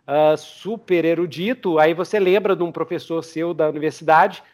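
Male podcast host, Brazilian, fairly high voice, casual interview chat with halting, repetitive phrasing. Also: steady tone, darkish tone. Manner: halting, repeats words while explaining.

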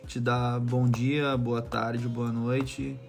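A man with a southern Brazilian accent talks casually at a middling pitch, with faint music behind him. He is slangy and sweary, telling anecdotes and voicing other people's remarks as he goes. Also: flat delivery, extremely slow, creaky. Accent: southern Brazilian Portuguese. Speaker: barber living abroad as an immigrant.

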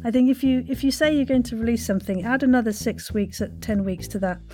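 Podcast host: British woman, higher-pitched voice, chatty, playful and exuberant interviewing style. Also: quick pace. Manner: effusive, playfully exuberant, slangy.

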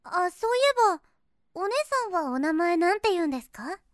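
A sweet young girl in an anime-style audio drama. Her voice is extremely high and childlike, with a dry, close sound. She is polite, earnest and innocent, a little hesitant and easily flustered. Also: animated, a little fast, no echo. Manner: earnest, deferential, hesitant speech. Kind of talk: Japanese voice drama acting.

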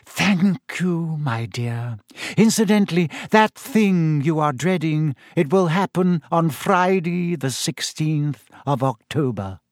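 A man voicing a dreamy, eerie woman seer, speaking slowly and softly in a misty voice. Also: fairly high pitch, expressive, dry recording, clean studio sound. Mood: mystical. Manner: slow, soft, drawn-out delivery.

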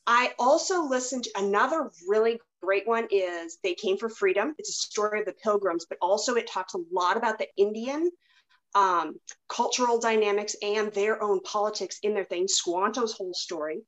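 A woman's voice: mid-range voice, moderate pace, fairly bright tone, lo-fi sound. A woman hosting a podcast, chatting casually and telling anecdotes in a rambling way. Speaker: podcast host. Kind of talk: casual chat.

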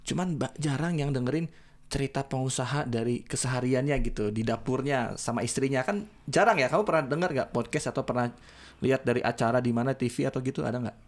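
Casual: 30s guy w hosting couple podcast, playful, laughy. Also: quick pace. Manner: playful, laughs often, swings loud and high when joking. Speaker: podcast host, businessman.

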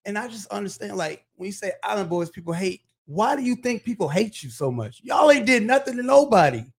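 A man with a Miami accent hosting a podcast, talking in a hyped, animated way and laughing often. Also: high voice, brisk, creaky, husky. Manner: hype, animated, laughs a lot. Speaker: podcast host. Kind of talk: casual interview chat.

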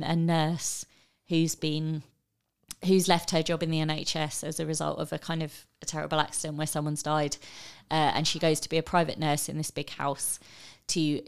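A woman's voice: deep voice, level tone, moderate pace, smooth. A woman co-hosting a podcast, chatting enthusiastically about a film, with lots of fillers and restarts. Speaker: podcast co-host. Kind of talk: casual film discussion.

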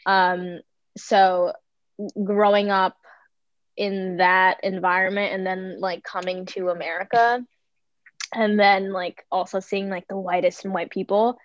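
A woman's voice: medium pitch, little pitch movement, a little slow, dry recording, highs cut off. A woman in her twenties speaking thoughtfully as a podcast guest, with many fillers. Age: 20s. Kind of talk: casual group chat.

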